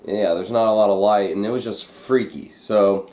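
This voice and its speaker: A man's voice: lowish voice, fairly even intonation, moderate pace, darkish tone. A man hosting a vlog, telling a story to viewers in a casual, conversational way. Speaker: vlog host. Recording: echoey, roomy sound.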